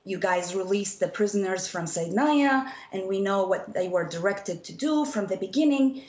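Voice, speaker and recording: A woman's voice: medium pitch, animated, normal speed, darkish tone, echoey room, muffled recording. A woman from Syria speaking as an activist in an impassioned televised political debate, emphatic and insistent, repeating her phrases.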